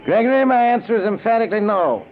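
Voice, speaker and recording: Stern rich brother in vintage radio play, talks fast n scornful, highish voice, tinny. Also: male voice, expressive. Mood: scornful, firm. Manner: emphatic, scornful, clipped. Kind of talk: old-time radio drama acting. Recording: noisy, thin old radio recording.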